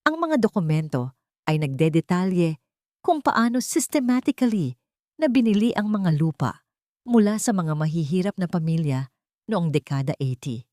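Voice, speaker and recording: A woman reading a scripted romantic drama as narrator, measured and emphatic, building suspense. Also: fairly low pitch, darkish tone, dry recording, studio quality. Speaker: story narrator.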